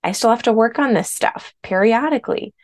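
A woman hosting her own podcast as a weight loss coach, a little hoarse from a sore throat, talking in a chatty, candid way. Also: a little high, a little fast. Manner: chatty, candid, uses filler words. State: hoarse, recovering from strep throat. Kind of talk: casual podcast monologue.

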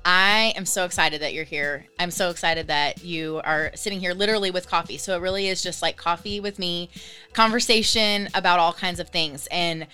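A woman's voice: fairly low pitch, normal speed, bright, forceful tone, clean tone, faint music behind. A woman, eagerly hosting a casual interview chat. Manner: eager, enthusiastic, chatty. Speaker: podcast host.

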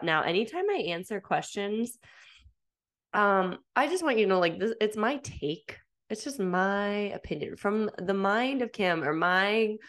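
A woman in her thirties hosting a casual solo podcast, stuffed up from a cold, chatty and upbeat with lots of fillers. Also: medium pitch. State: congested from a cold. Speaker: podcast host.

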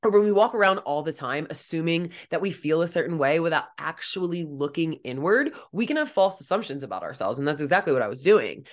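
A young woman with a raspy, somewhat deep voice, hosting her own coaching podcast in a blunt, direct, casual way. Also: lively intonation, brisk, hardly any pauses. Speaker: podcast host and life coach. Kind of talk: casual solo podcast monologue.

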